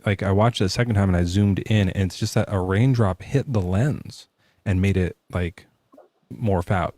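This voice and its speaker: A man's voice: low-pitched, a little mellow, no room sound. A male podcast host talking casually from his garage in a jokey, loose way, with plenty of swearing. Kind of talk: casual debate chat. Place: home garage.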